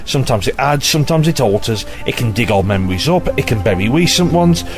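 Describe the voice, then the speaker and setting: A man playing a gruff, impatient detective chief inspector in an audio drama, blunt and sardonic. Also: mid-range voice, animated, fast talker, music in the background, lightly acted. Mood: explaining.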